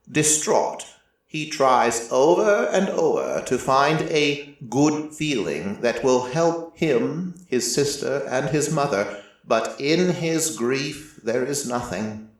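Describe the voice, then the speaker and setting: A man playing an ancient, merciless deity who narrates a story in a measured, solemn way with deliberate pauses. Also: medium pitch. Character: ancient merciless deity, male-voiced, reflective and quietly fond. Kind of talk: scripted first-person dramatic narration. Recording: echoey room, slightly distant from mic.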